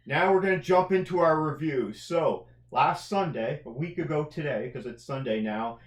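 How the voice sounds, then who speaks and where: A man's voice: a little high, moderate pace. Enthusiastic Canadian man in an echoey room. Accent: Central Canadian. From Canada, outside the Maritimes. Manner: enthusiastic, bantering. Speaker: podcast co-host reviewing films and TV. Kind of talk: casual conversational review chat.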